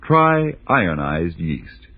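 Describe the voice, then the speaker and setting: A man's voice: deep voice, sing-song, slow, dry recording. A man delivering a folksy, persuasive commercial in 1940s radio style. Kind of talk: 1940s radio advertising pitch. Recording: tinny, narrow vintage radio broadcast.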